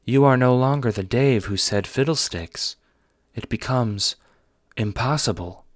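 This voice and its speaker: A man voicing a blunt female alien troll time traveler in an audio drama, speaking formally and with measured care. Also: medium pitch, no room sound, close to an everyday voice. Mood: grave, explanatory. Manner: formal, measured, few contractions.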